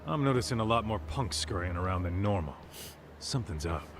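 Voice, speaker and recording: An actor voicing a blunt, gruff middle-aged male detective in a video game. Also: lowish voice, animated, fairly quick, background noise. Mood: wry. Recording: game audio with music behind.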